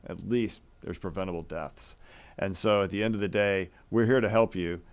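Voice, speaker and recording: Deep, smooth-voiced man hosting a panel. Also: moderate pace. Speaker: host and physician moderating a briefing. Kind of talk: live panel moderation.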